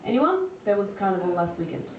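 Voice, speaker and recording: A woman's voice: mid-range voice, fast, slight background noise. Woman doing stand-up, echoey and distant. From Russia. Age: 20s. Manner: deadpan with frequent fillers and restarts. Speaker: stand-up comedian. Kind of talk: stand-up comedy set. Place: live venue with audience. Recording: echoey lo-fi room recording from far off.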